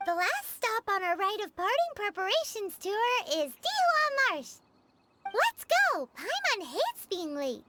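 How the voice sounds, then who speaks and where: A woman voicing a small, excitable, chatty fairy companion in a video game, with a squeaky childlike voice. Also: a little slow, background noise, exaggerated voice. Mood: eager. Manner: speaks of self in third person, exclamatory. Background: game music.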